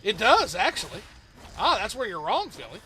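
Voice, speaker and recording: A man's voice: high voice, huge pitch swings, normal speed, pushed and bright, soft background music. A man hosting a casual game livestream, rambling as he reads out viewer chat and answers it. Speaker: streamer host.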